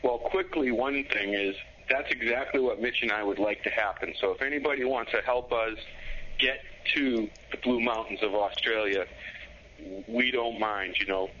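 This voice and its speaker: Bright-voiced male guest on a muffled line. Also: fairly low pitch, moderate pace, a little hiss or hum. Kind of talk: casual chat, remote guest.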